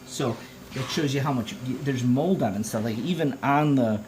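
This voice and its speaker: A man's voice: mid-range voice, normal speed, heavy background noise. Man rambling enthusiastically in a casual vlog. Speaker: vlogger, tape collector. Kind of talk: casual show-and-tell vlog. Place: indoor home room. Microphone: handheld phone near the speaker.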